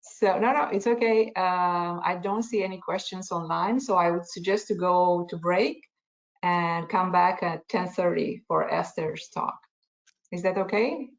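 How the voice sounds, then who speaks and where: A woman's voice: fairly low pitch, unhurried, rough recording. A woman moderating a conference session over a video call, politely thanking the speaker and announcing a break.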